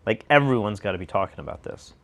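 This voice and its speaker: An American man co-hosting a casual discussion show, speaking emphatically with a slightly raspy voice and throwing out rhetorical questions. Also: fairly low pitch, lively intonation, quick pace. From United States. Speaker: show co-host.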